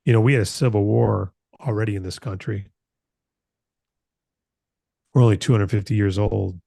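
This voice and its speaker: A man's voice: lowish voice, fairly even intonation, normal speed, vocal fry, warm tone, no echo, clean studio sound. An American man in his late fifties giving an informal motivational business talk, earnest and conversational, repeating phrases for emphasis. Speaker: company founder hosting a broker announcement meeting.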